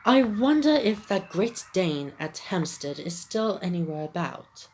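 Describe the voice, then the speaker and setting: A woman's voice: fairly low pitch, very animated, a little slow, darkish tone, slight background noise, rough recording. A woman with a phlegmy, sick throat reading a video game's dialogue for a proper, devoted male Dalmatian father in a plain voice. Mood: earnest. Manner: reads game dialogue aloud in a plain voice.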